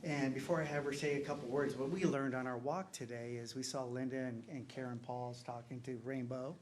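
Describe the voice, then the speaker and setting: A man presenting at a board meeting, speaking in a relaxed, chatty way with frequent ums. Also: mid-range voice, fairly quick, slight background noise. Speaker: finance administrator presenting to a board.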